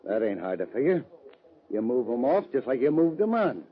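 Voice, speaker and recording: An actor playing a domineering, greedy cattle baron in a radio western, with a folksy, threatening American Western drawl. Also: male voice, medium pitch, sing-song, normal speed, close to an everyday voice. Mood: smug menace. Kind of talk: old-time radio western acting. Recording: dull vintage radio recording.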